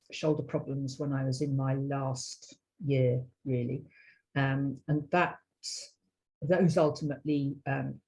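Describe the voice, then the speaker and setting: A British woman in middle age with a husky, soft-edged voice. Her pitch stays fairly flat and her tone is mellow as she recounts her own experiences, haltingly and with modesty. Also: rough recording. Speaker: interview guest, violinist and researcher. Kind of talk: personal storytelling in a panel chat.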